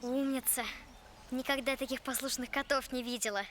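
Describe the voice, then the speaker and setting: A woman voicing a lively, polite, curious young girl in an animated film, eager and exclamatory. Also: high-pitched, normal speed, slight background noise. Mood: delighted.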